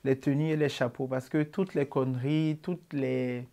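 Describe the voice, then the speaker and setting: A man in his twenties with an Ivorian accent, answering interview questions about his comedy in a relaxed way, with many fillers. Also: medium pitch, moderate pace. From Ivory Coast. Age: 20s.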